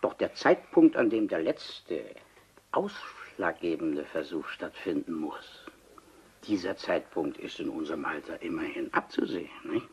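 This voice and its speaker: An actor playing an obsessed, coolly persuasive seventy-year-old scientist in a radio crime play, deliberate and insistent, with dramatic pauses. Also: male voice, low voice, expressive, slightly rough, exaggerated voice. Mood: calm, matter-of-fact. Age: older.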